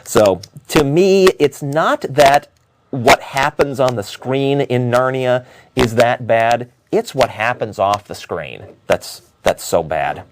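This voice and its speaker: A man giving unscripted opinion commentary as a talk show host, arguing in a halting way with frequent self-corrections. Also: medium pitch, animated, noisy background.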